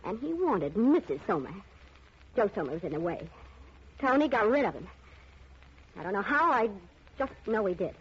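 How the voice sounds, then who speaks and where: A woman's voice: medium pitch, big pitch swings, normal speed, long pauses, slightly rough. Brassy gangster's girlfriend, muffled sound. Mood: insistent, scornful. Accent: American. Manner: brassy, slangy, insistent. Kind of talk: 1940s radio drama acting. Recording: muffled, noisy old radio recording.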